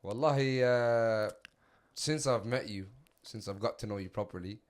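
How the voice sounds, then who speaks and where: A man's voice: on the low side, normal speed. A man hosting a podcast who greets his guest courteously and asks friendly questions. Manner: warm and courteous, uses Islamic greetings. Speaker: podcast host. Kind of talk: scripted intro then casual interviewing.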